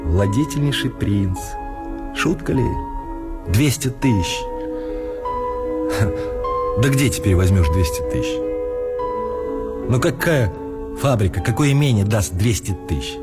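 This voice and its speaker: Man as a lively low-voiced gloating gambler, loud music. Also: lightly acted. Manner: musing, rhetorical questions, savouring repetitions. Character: smug self-justifying male gambler gloating over sudden riches. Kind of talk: theatrical monologue in audio play.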